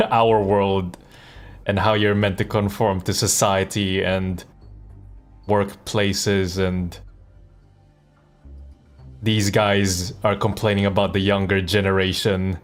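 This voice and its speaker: A man giving casual, amused game livestream commentary, rambling and repeating words as he thinks aloud. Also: fairly low pitch. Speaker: live streamer and voice actor.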